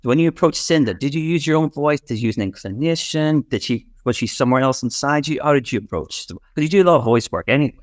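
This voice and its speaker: A man's voice: medium pitch, lively intonation, fast, hardly any pauses, dry recording. Male interviewer, chatty and keen, dry close-mic sound. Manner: eager, chatty, stacks questions. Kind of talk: interview questions.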